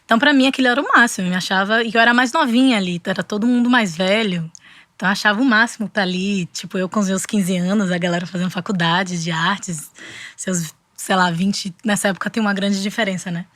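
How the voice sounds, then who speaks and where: A woman's voice: mid-range voice, quick pace, a bit bright, no room sound. A young woman from Brazil with a Bahian accent, reflecting thoughtfully as a guest in a casual interview, restarting her sentences often. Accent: Bahian Portuguese. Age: young adult. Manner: reflective, with frequent restarts and fillers. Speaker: guest artist.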